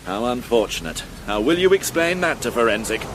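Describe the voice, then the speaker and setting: An actor playing a weary, middle-aged police inspector in a radio crime drama, speaking with dry irony. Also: male voice, mid-range voice, very animated, fairly quick, a little hiss or hum, lightly acted, low-quality recording. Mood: dry, grave. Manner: dry, ironic, world-weary. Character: weary, sardonic veteran male police inspector.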